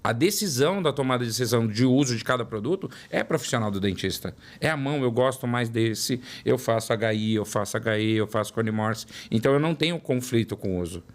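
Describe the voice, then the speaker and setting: A man's voice: mid-range voice, animated, fairly quick, hardly any pauses. A man in his forties with a São Paulo accent, a guest telling his career story in an interview and repeating words for emphasis. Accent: São Paulo Portuguese. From São Paulo, Brazil. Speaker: guest, product development director. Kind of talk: interview conversation.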